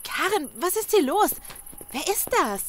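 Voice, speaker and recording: Actress as level-headed female survivor, lively intonation, wary, horror audio drama, slight background noise. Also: very high voice, measured pace, heavily acted. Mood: alarmed. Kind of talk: German audio drama acting.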